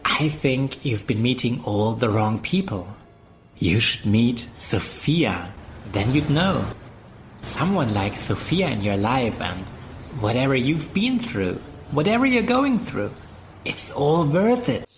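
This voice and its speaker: A man's voice: mid-range voice, sing-song, takes it slowly, a little hiss or hum. An actor playing a naive, lovestruck young man in an audio drama, dreamy and hesitant. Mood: dreamy, awkward.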